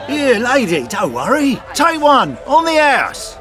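A man's voice: very high voice, wildly swooping pitch, slow, fairly bright tone, a faint crowd, exaggerated voice. An actor playing a brash, chatty male market stall trader in an audio drama. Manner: chatty street-trader patter, cheeky.

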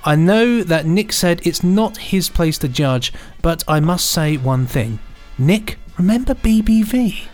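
A British man with a fairly high, very animated voice co-hosts a casual podcast. His manner is dry and polite, and there is faint music behind him. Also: unhurried, hardly any pauses. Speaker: podcast co-host.

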